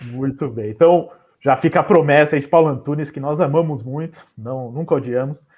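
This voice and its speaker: A man's voice: fairly high pitch, fairly quick, darkish tone. A man hosting a casual interview, friendly and joking back and forth with his guest. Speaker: podcast host. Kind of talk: casual chat.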